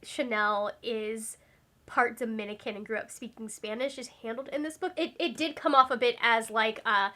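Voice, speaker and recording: A woman's voice: on the high side, moderate pace, bright, forceful tone. A young woman chatting casually about a book she just read, rambling with lots of fillers and self-corrections. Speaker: book reviewer. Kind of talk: casual book review chat.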